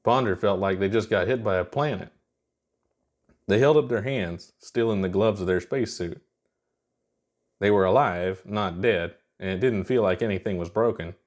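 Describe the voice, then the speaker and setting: A man's voice: lowish voice, moderate pace. A man narrating a scripted fiction story as its reader.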